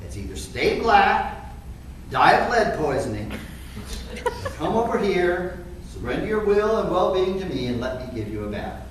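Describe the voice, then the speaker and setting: A wry cat owner recounts his comic troubles with exasperation , played by a man reading onstage. His fairly high voice moves freely up and down, heard from a distance in a very echoey theater. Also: normal speed. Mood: exasperated, teasing. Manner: animated, expressive delivery. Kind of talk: theatrical first-person storytelling in a play read-through. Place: indoor theater stage, recorded from afar. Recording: echoey theater, distant mic, faint noise.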